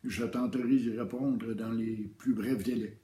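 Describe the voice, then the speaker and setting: A man giving a calm, measured spiritual teaching, repeating key phrases. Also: mid-range voice. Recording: roomy echo.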